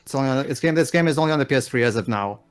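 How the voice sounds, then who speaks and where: Man, mid-pitched, let's play commentator, explaining the game, faint music underneath. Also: lively intonation, rapid-fire, clear, resonant tone. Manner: explains the game's background and story.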